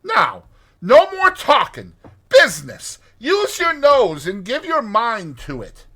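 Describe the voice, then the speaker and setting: A man voicing a hearty, bossy, cheerful water rat gentleman in a dramatized storybook, speaking in brisk, commanding exclamations. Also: extremely high pitch, wildly swooping pitch, pitched above the performer's own voice, full character voice.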